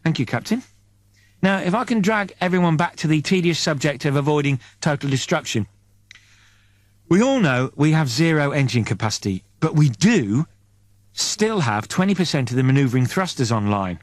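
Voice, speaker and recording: A man's voice: a little high, animated, moderate pace, dry recording, close to an everyday voice. Man reading as weary, sardonic ship's scientist. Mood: dry, patient. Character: weary, sardonic male spaceship scientist in charge. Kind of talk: audiobook character voice.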